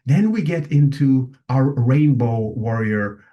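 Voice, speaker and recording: A man giving a reflective, philosophical talk at an online session, pausing often and restarting his sentences. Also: medium pitch, animated, highs cut off. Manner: halting, many restarts and fillers; musing and philosophical. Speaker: session speaker.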